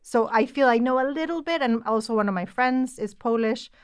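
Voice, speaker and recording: Smooth-voiced woman chatting casually. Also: a little high, fairly quick, dry recording, clean studio sound. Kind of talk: casual chat.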